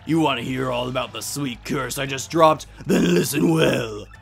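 A man's voice: medium pitch, creaky, faint music behind. A man voicing a gleefully wicked demon from a video game, gloating theatrically as a curse-casting villain in a fan dub. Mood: gloating menace. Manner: theatrical, gloating, drawn-out cackles and shouts. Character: gleefully wicked cursing demon trapped in a box.

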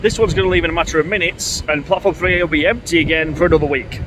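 A man, vlogging excitedly from a railway platform. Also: a little high, fast talker. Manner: chatty, excitable, talks to camera while watching trains. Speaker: train-spotting vlogger. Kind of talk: casual vlog commentary. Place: outdoors on a railway station platform. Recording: outdoor station noise behind the voice. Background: passing trains and station ambience.

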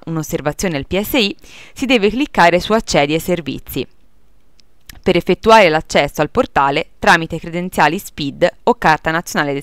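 A woman's voice: sits low, clear, resonant tone, no echo, studio quality. A woman reading a scripted instructional tutorial as narrator in a formal, procedural manner.

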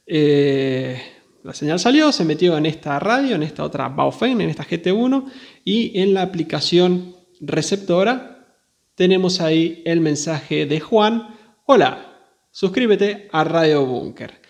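A man's voice: on the high side, animated, unhurried, gravelly. A man presenting a casual hands-on tech demonstration, talking informally and directly to viewers. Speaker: video host demonstrating radio gear.

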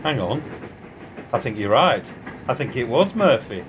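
A man playing a dry, argumentative football supporter in a radio play, terse and deadpan. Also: mid-range voice, measured pace. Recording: train noise over voice.

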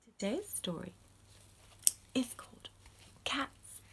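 A woman in her forties reading a picture book aloud to young children, putting simple questions to them in an animated way. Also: high voice, slow pace, in a whisper. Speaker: children's storytime host and reader. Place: small room at home, indoors.

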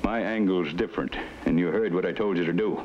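An actor playing an irritable middle-aged American police lieutenant, gruff and commanding, in a 1950s TV crime drama. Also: male voice, low-pitched, fairly quick, darkish tone, close to an everyday voice. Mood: irritated. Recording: muffled, noisy old TV film sound.

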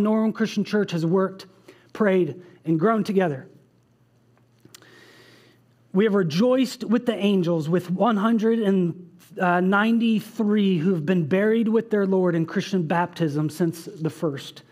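High-voiced man preaching a sermon. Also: measured pace, darkish tone. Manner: earnest, reading aloud with asides. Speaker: pastor. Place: church stage with band behind.

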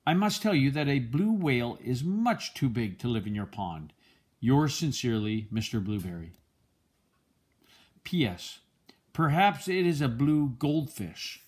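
An older man with a husky voice reads a children's picture book aloud in a gentle, measured storytelling manner. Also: mid-range voice. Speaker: storybook reader, a grandfather.

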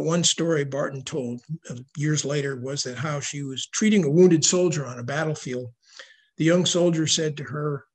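An older man giving a prepared slide lecture on history over a video call, reading in a measured way. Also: fairly high pitch, slightly rough. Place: indoor room at home, video call. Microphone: video call microphone.

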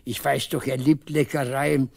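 A man's voice: medium pitch, little pitch movement, normal speed, gravelly. An actor playing a proud, talkative old village father in a 1970s East German radio drama, wistful and emphatic. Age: older.

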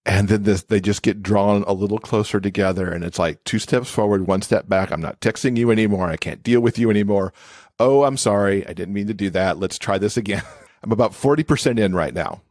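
A man with a fairly low voice talks casually as a podcast guest. His delivery is enthusiastic, and the recording is close and dry. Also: fairly quick, darkish tone, no room sound. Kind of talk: casual chat.

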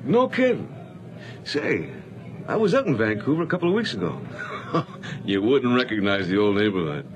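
A man's voice: on the low side, big pitch swings, normal speed, long pauses. An actor playing a talkative, effusive salesman and old friend in a radio drama. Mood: hearty, excited. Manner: effusive, chatty. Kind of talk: 1980s radio drama acting.

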